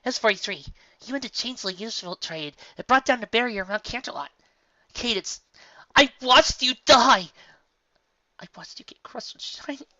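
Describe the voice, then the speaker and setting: A woman's voice: on the high side, animated, brisk, few pauses, no echo, distant mic, lo-fi sound, pitched above the performer's own voice, natural voice. A woman voicing a panicked young female unicorn princess, screaming and near tears. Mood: frantic. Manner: screaming, frantic, tearful.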